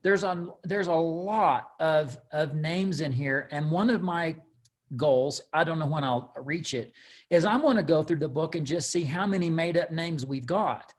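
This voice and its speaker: Male read-along host, voice a little higher than average, informal and halting, circling through explanations. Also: a little mellow. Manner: rambling, halting explanations with repeated words. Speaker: host and co-author leading a book read-along. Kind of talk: casual live book discussion.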